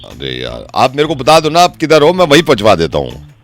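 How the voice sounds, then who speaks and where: A man on a prank phone call playing a chatty middle-aged uncle who rambles insistently with hesitant pauses. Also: medium pitch, wildly swooping pitch, soft background music. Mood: pressing.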